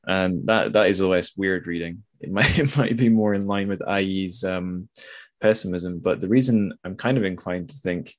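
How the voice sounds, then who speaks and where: A man's voice: low-pitched, moderate pace. A man hosting a casual literary discussion, thinking aloud and correcting himself as he goes. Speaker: podcast host.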